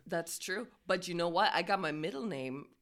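A woman's voice: fairly low pitch, expressive, moderate pace, fairly bright tone. A young woman from Denmark co-hosting a podcast, teasing with bawdy jokes and laughing a lot. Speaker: podcast co-host. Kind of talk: casual chat.

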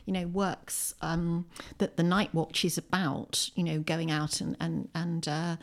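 Podcast guest: English woman, slightly low voice, articulate, opinionated, thoughtful conversational delivery. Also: little pitch movement, measured pace, vocal fry. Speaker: podcast guest, poet and writer. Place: at home, indoors.

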